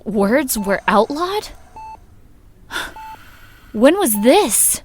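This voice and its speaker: A woman's voice: fairly high pitch, very animated, very slow, darkish tone, full character voice. A young woman playing an earnest, concerned, devoted granddaughter in an audio drama. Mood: curious, eager. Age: young adult. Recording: clear background noise.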